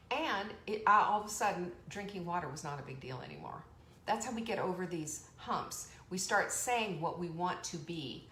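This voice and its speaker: Peppy woman hosting a live stream, lots of laughs, echoey room with a bit of hiss. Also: lowish voice. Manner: energetic, chatty, laughs often, reads viewer comments. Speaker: host of a live online show. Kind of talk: motivational live-stream talk. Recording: echoey room with faint background noise.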